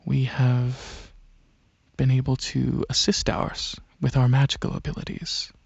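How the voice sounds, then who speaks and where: Slow, husky, soft-spoken man as a dragon alien prince. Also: medium pitch, monotone, dry recording, dull audio. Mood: calm, explaining. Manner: hesitant, unhurried, soothing, with frequent pauses. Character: gentle dragon alien prince, centuries-old royal researcher, softly coaxing. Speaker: ASMR roleplay performer. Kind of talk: ASMR roleplay, soft-spoken monologue to the listener.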